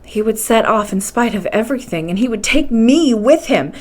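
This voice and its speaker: Woman acting excitable young nephew, slightly high voice, anxious, emphatic exclamations, storybook adventure. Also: normal speed. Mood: alarmed.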